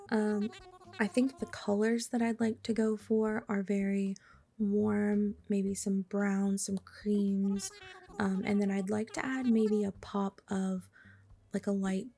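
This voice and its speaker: A young woman with a raspy, slightly hoarse voice from a cold, chatting casually and softly over her gameplay, sometimes dropping to a whisper. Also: medium pitch. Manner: soft-spoken, chatty, trails into whispers. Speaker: gaming vlogger.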